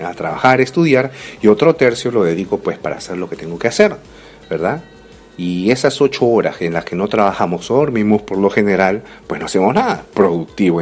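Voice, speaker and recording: A man in his thirties talks straight to the listener in a deep, low voice that still rises and falls a lot. He sounds reflective and keeps asking rhetorical questions, with faint music playing underneath. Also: darkish tone. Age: 30s. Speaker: motivational speaker. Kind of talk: motivational talk to the listener.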